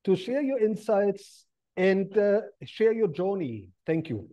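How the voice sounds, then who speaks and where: Mellow-voiced male interviewer. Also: high voice, very animated, takes it slowly, lo-fi sound. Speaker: interviewer, host.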